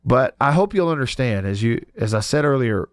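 A man's voice: mid-range voice, lively intonation, fairly quick, dry recording. A man hosts a sports talk show on the radio, his voice stuffy and congested from a cold. He keeps it easygoing and chuckles often, restarting his sentences as he goes. The studio sound is dry and close. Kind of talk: casual radio chat.

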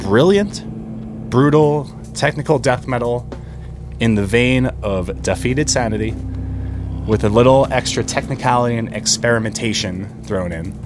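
A man's voice: medium pitch, a little slow, background noise. A man co-hosting a podcast, talking casually and with informal slang as he reviews music. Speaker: podcast co-host.

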